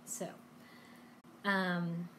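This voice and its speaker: A woman's voice: lowish voice, flat delivery, drawn-out words, sharp tone, a little hiss or hum. A woman hosting a language lesson live stream, talking in a casual, chatty and encouraging way.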